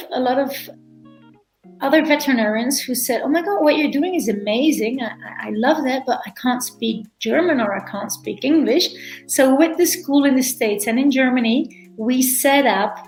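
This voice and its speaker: A middle-aged woman from Belgium, a guest in an interview who often repeats words and restarts. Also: on the high side. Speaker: guest, veterinarian and animal chiropractor. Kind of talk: casual interview talk. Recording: echoey room, faint music.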